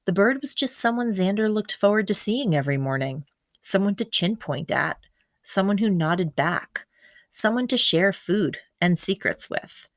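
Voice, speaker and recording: A woman's voice: deep voice, no echo, studio quality. A woman narrating a children's picture book expressively, drawing out key words for emphasis. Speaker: storyteller reading a picture book aloud.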